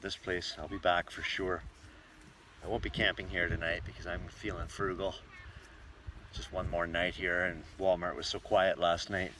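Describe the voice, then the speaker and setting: A man's voice: deep voice, pushed and bright, slight background noise. A man hosting a travel documentary, narrating casually as he explores.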